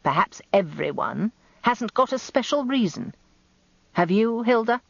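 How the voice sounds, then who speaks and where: A woman voicing a gruff older male barrister husband, terse, dry and sardonic, in a radio drama. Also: fairly high pitch, big pitch swings, measured pace, no room sound, muffled recording, voice pushed up, heavily acted. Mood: suspicious, testy.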